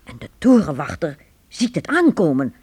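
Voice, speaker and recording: A woman narrating a Dutch radio play in a measured, dramatic storytelling manner. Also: low-pitched, sing-song, darkish tone, husky, exaggerated voice. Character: storybook narrator of a medieval adventure.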